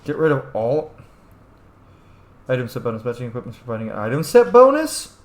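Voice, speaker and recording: A man's voice: medium pitch, big pitch swings, brisk. A man hosting a gameplay video with casual, informal commentary, muttering to himself as he goes. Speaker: gaming video host. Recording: roomy echo, faint background noise.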